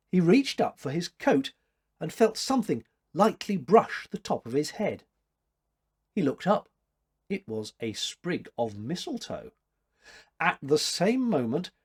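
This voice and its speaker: Expressive, slow-paced male story narrator. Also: a little high, breathy edge.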